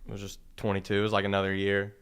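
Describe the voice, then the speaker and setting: A man's voice: on the low side, monotone, racing, a bit bright, clear, resonant tone. A twenty-three-year-old man chatting as a podcast guest in a small studio, easygoing and laid-back. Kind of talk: casual chat. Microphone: studio mic on boom arm.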